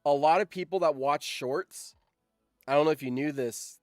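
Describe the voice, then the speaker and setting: A man's voice: a little high, normal speed, no room sound, faint music behind. A young man hosting a casual livestream, talking in a rambling way that often trails off, close to the mic. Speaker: streamer and host.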